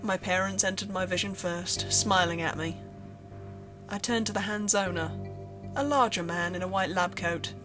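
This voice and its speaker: A woman's voice: lowish voice, normal speed. Woman narrating horror fiction over music. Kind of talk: scripted horror story narration.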